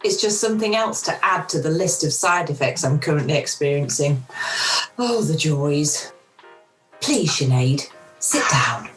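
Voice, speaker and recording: Hospitable club hostess played by an amateur actress, low female voice, wry and theatrical, very expressive radio drama delivery. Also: a little slow, faint music behind. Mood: reassuring, hospitable. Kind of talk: amateur radio play acting.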